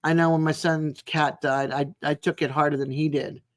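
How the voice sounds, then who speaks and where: A woman in her sixties chatting casually as a live stream host, in a rambling, chatty way with frequent pauses. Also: sits low, flat delivery. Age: 60s. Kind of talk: casual chat with live viewers.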